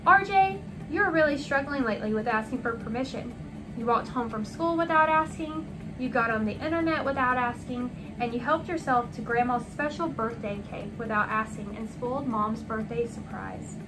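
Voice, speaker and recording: A woman voicing a firm, patient father who instructs his son in a measured way in a storybook. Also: fairly high pitch, close to an everyday voice, poor audio. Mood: firm, instructive.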